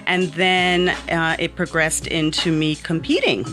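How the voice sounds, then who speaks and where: A woman's voice: low-pitched, flat delivery, a little slow, over music. Earnest woman, reflective guest. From born in Miami, raised in the Bahamas. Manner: earnest and reflective, with frequent ums. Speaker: author and interview guest. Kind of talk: personal interview conversation.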